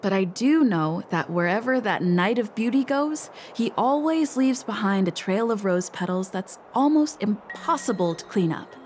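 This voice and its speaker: A woman's voice: medium pitch, expressive, normal speed, few pauses, darkish tone, a little hiss or hum, natural voice. Woman voicing an exasperated young woman. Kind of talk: game cutscene voice acting.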